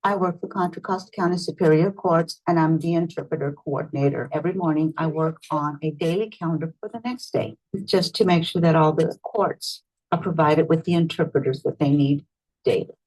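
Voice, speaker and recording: A woman's voice: low-pitched, fairly quick, a little mellow, rough recording. A woman speaking as a coordinator, introducing her job in a documentary interview.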